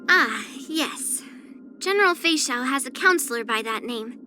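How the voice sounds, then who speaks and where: A woman's voice: high voice, animated, unhurried, pushed and bright, faint music behind, full character voice. A woman voicing a spirited, proud young swordswoman in an anime-style video game, speaking in an earnest, blunt, slightly pouty way. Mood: matter-of-fact, confident.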